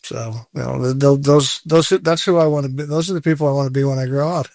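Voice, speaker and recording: A man's voice: medium pitch, fairly even intonation, fast talker, creaky, darkish tone, no echo, studio quality. A man in his sixties, a guest in a casual interview, talking candidly and with self-deprecating humor, often breaking into a laugh. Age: 60s.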